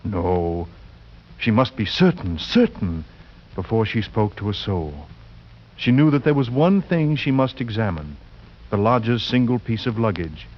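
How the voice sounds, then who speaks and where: A man narrating a suspense tale as a radio actor, grave and deliberate in a theatrical 1940s style. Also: lowish voice, sing-song. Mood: tense, urgent. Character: grave, ominous male storyteller of a horror tale. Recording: muffled 1940s radio recording with music.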